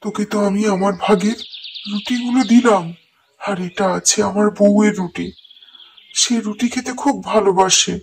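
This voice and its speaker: A man's voice: sits high, steady tone, normal speed, a little hiss or hum. A man voicing a timid old woodcutter husband who frets and mutters to himself in fear. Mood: pleading. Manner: fretful, scared muttering to himself.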